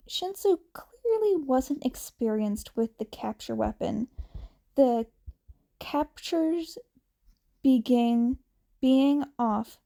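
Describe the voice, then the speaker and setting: A woman reads fiction aloud in a fairly high, soft-edged mellow voice. She takes her time, hesitating here and there, and her tone often crackles with fry. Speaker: fan fiction reader. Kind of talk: scripted story narration.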